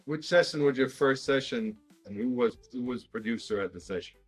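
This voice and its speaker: A man interviewing a musician, asking calm, direct questions. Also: medium pitch, a little fast, breathy edge, soft background music.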